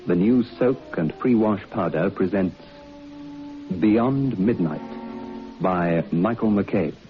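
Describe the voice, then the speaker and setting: Over loud music on a dull old radio recording, a male announcer speaks in a very low voice. His delivery is dramatic programme-opening style. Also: animated, slow pace.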